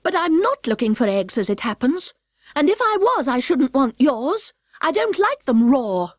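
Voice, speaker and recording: Storybook heroine, played by a woman: proper, puzzled little girl, high and lilting, earnest and polite. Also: big pitch swings, moderate pace, no echo, clean studio sound. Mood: hasty, firm. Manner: proper, earnest, hesitant when challenged.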